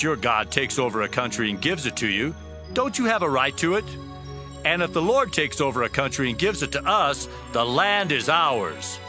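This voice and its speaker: A man doing a defiant outcast warrior chief in a dramatized scripture drama. The voice sits a bit high and swings widely in pitch as he presses his case. Music plays steadily behind him. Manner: forceful, challenging questions. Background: background music.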